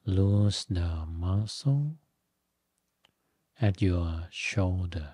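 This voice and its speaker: A man leading a guided meditation as a teacher, giving gentle instructions in short phrases with long pauses. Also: low voice, lively intonation, husky, no room sound. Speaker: Buddhist monk, meditation teacher.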